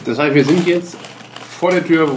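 A man's voice: on the high side, moderate pace. A man chatting casually as a player in a tabletop role-playing game. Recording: echoey room, faint noise.

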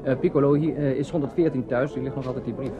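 Man playing quick, servile hotel night porter. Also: mid-range voice, fairly even intonation, natural voice. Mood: flustered, polite. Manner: hurried, servile, politely apologetic. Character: busy, obsequious male hotel night porter. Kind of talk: 1930s radio play acting. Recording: dull 1930s radio, music behind.

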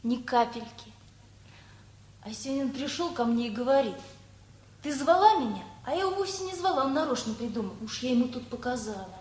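An actress playing a lively, chatty girl just out of school, telling things artlessly in a radio play, with a husky voice. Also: high voice, a little fast. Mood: candid, animated.

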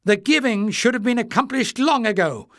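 A man's voice: very high-pitched, expressive, moderate pace, a bit bright, no room sound, clean studio sound, pitched above the performer's own voice, exaggerated voice. A man voicing a sullen young male goblin who sneers and retorts furiously.

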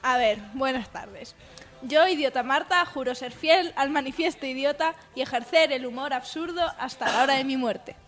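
A woman's voice: high voice, normal speed, fairly bright tone, faint music behind. A woman speaking casually as a panelist on a comedy radio show.

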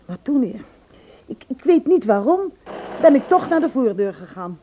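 An actress playing an earnest, polite sister in a radio play. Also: female voice, high-pitched, big pitch swings, normal speed, a little hiss or hum. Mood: uneasy, confiding. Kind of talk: Dutch radio drama acting. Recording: muffled old radio play recording.

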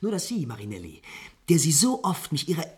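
A husky-edged young prince in a 1950s radio drama. He is imperious and lovesick, speaking emphatic with widely swinging pitch and theatrical diction. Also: wildly swooping pitch, a little fast, full character voice. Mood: anguished, furious. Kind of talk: 1950s German radio play acting.

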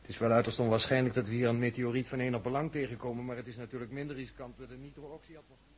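A male actor plays a studious male astronaut in an early-sixties radio serial. The voice is mid-pitched and somewhat husky, the phrasing formal, literal and carefully precise. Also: fairly even intonation, racing, natural voice. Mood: composed. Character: earnest, bookish male astronaut, clumsy in weightlessness. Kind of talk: 1960s Dutch radio drama acting.

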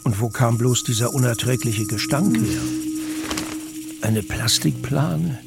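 A man's voice: mid-range voice, lively intonation, measured pace, creaky. A man narrating a scripted, dramatic audiobook as a storyteller. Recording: music bed under narration.

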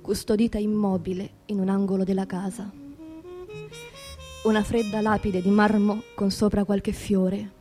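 Solemn woman narrator in measured phrases. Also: medium pitch, little pitch movement, dark tone, soft background music. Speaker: stage narrator. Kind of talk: scripted theatrical narration.

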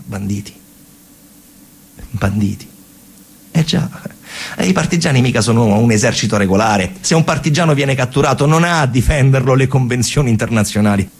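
A man's voice: mid-range voice, expressive, moderate pace, creaky, breathy edge. A man performing a theatrical narrative monologue, telling the story emphatically in short, colloquial, repeated phrases. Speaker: stage actor and narrator.

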